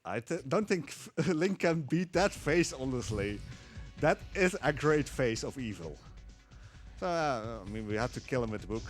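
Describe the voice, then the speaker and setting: Casting: easygoing man commentating a game run, mid-pitched, faint music under him. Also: moderate pace. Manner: relaxed, chuckling, explanatory. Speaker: speedrunner. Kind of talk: casual live gameplay commentary.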